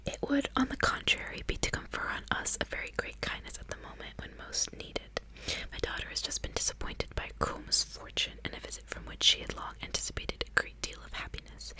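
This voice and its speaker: A woman reading a courteous, earnest old father from a gothic novel in a formal, breathy whisper. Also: fairly quick, sharp tone, close to an everyday voice, rough recording. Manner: formal, gallant.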